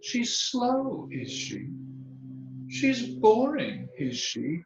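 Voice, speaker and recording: Man doing a wise, kind storybook parrot, high and measured, slow, echoey dull room. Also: huge pitch swings, gravelly, noisy background, exaggerated voice. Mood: wry. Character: wise kind female parrot, calm and stern.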